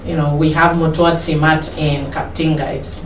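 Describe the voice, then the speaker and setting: A woman's voice: low-pitched, little pitch movement, moderate pace. A Kenyan woman in her thirties telling her life story in an interview, hesitant and repeating words, now and then slipping into Swahili. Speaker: guest. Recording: echoey room, mic far off, some noise.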